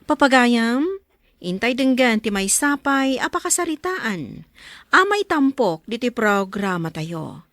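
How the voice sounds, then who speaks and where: Slow, expressive woman presenter. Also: fairly high pitch, dry recording. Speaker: programme host. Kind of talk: scripted presenting with scripture reading.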